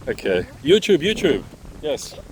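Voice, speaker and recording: A man's voice: a little high, sing-song, a little slow, heavy background noise. A man whose native language is Bosnian, walking outdoors through a park and giving chatty, wordy travel vlog commentary. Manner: chatty, wordy, with sightseeing flourishes. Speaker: travel vlogger.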